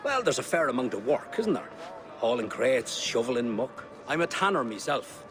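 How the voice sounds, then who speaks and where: An actor playing a cheerful, chatty working tanner with an Irish accent in a video game. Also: male voice, medium pitch, moderate pace, crowd noise, close to an everyday voice. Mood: chatty, folksy. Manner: folksy, lively, talkative. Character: cheerful chatty common man, a hard-working city tanner. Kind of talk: video game character dialogue. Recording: loud music behind.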